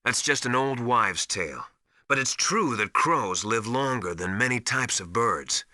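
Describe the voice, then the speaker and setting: Video game military advisor: male, authoritative teacher type, piercingly bright, dry close sound, urgent and emphatic. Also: medium pitch, expressive, measured pace, no room sound. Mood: instructive. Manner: didactic, urgent, emphatic instruction. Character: knowledgeable, commanding male military survival mentor called Master. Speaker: radio support advisor.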